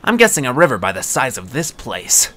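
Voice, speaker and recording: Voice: medium pitch, big pitch swings, fairly quick, a bit bright. An actor playing an eager, matter-of-fact young adventurer in an audio drama, explaining things in a friendly way.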